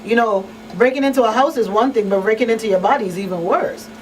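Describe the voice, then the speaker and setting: A woman's voice: a little high, quick pace. A woman hosting an informal panel discussion, speaking emphatically and repeating points for weight as she gives advice. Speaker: radio talk show host and crisis counselor. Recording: distant microphone, noisy room ambience.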